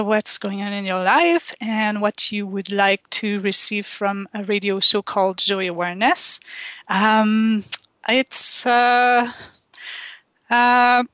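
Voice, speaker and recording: A woman from Quebec with a Quebec French accent, French her first language, hosting a call-in radio show in a halting way, with many ums and restarts. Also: a little high, a bit bright, clean tone, no echo. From Quebec, Canada. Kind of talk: slow, hesitant call-in radio talk.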